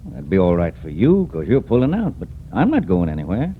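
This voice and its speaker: An actor playing a crooked old rancher uncle with a folksy, drawling in a 1940s radio drama. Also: male voice, deep voice, huge pitch swings, fairly quick. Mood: sly, impatient. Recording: muffled, noisy 1940s radio recording.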